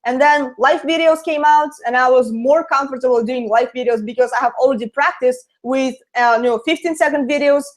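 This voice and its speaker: A high-pitched young woman speaks English with a Russian accent. She talks in an easygoing, rambling way, with frequent fillers as she answers an interviewer's questions. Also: steady tone, fairly quick, few pauses. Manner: chatty, lots of fillers. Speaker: interview guest. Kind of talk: casual live interview.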